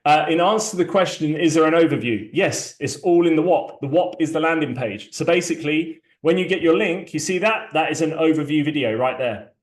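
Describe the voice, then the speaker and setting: A man's voice: a little high, brisk, hardly any pauses. A man hosting a community launch, talking casually through a shared screen, loose and chatty with many fillers and restarts. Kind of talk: casual live walkthrough, screen sharing. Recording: echoey room sound.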